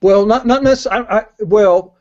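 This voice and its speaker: A man's voice: high-pitched, moderate pace, a little mellow. An older man making his case in a casual panel debate, emphatic and often restarting his sentences. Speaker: panelist in a marketing discussion. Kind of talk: casual argumentative panel chat. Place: indoors on a video call. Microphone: earbud headset. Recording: dull lo-fi video call audio.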